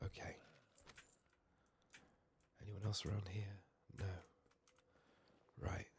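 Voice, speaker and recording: A man giving soft-spoken, partly whispered ASMR commentary on a game he is playing, murmuring short remarks to himself. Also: fairly low pitch, monotone, slow pace. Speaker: game streamer. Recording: keyboard and mouse clicking noise. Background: computer keyboard typing and clicks.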